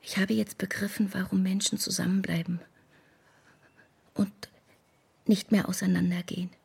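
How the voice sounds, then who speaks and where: An actress in a German radio drama playing an anxious, earnest, wistful woman with a breathy voice. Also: mid-range voice, darkish tone.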